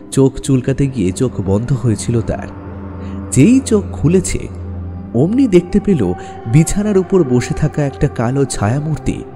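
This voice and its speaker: A man narrating a scripted horror story dramatically, with suspenseful pauses. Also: mid-range voice.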